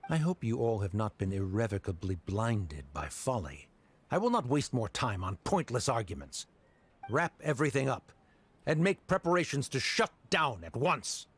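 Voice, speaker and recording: A man's voice: mid-range voice, sing-song, moderate pace, breathy edge. A man playing a stern, haughty middle-aged official in a video game, formal, pompous and dismissive. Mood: stern, scornful.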